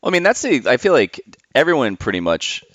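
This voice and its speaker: A man's voice: medium pitch, big pitch swings, brisk, fairly bright tone, no room sound. A man hosting a casual podcast interview, laid-back and conversational, swearing freely. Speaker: podcast host.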